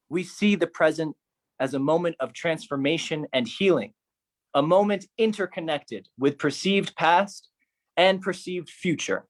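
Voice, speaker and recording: A man performing a theatrical spoken manifesto, declamatory and solemn, with deliberate phrasing. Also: fairly high pitch. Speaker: solo performer.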